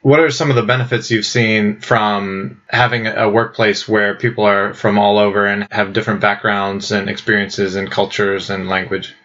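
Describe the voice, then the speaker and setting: A man's voice: fairly low pitch, level tone, normal speed, hardly any pauses, a bit bright. A man hosting a podcast, asking guests long, conversational questions. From United States. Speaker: podcast host. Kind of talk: podcast interviewing. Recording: dull lo-fi podcast audio.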